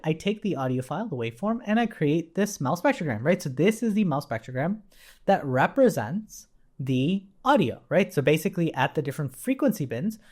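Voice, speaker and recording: A man giving an informal technical tutorial in a conversational way, often tagging statements with a questioning check. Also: on the high side. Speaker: instructor.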